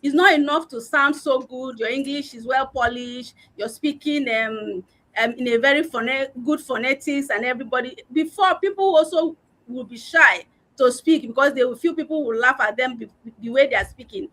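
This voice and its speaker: Woman, high voice, earnest motivational talk, video call audio. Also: moderate pace, a bit bright. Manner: earnest, personal, with frequent um fillers. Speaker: panelist on a live online discussion; learning and development head.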